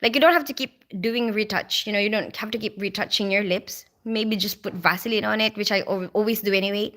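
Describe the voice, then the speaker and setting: A woman's voice: mid-range voice, brisk, a bit bright. A young woman from the Philippines with a Filipino accent, chatting casually on a livestream and reading out viewer comments, full of "like" and "you know". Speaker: livestream host.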